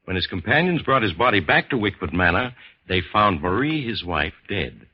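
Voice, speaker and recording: Grave occult-minded doctor, lowish voice, portentous, 1950s radio play, dull lo-fi recording. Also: male voice, sing-song, moderate pace, breathy edge. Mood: grave, ominous. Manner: solemn, portentous storytelling. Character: grave, learned doctor versed in the supernatural. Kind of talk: 1950s radio horror acting.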